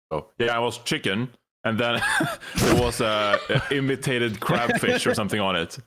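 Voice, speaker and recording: A relaxed man from Sweden co-hosting a podcast, his pitch bouncing around as he chats. His talk is loose and easygoing, often drifting off into fillers mid-sentence. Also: measured pace, slight background noise. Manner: laid-back, laughs often, trails off with fillers. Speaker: podcast co-host. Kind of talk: casual chat.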